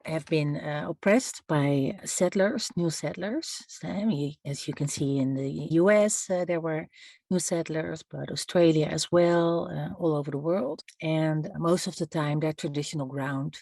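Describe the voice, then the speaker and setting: A woman, a human rights lawyer answering interview questions in a measured, thoughtful way with frequent hesitations. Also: low voice, vocal fry, darkish tone, no echo. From Netherlands. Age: 50s.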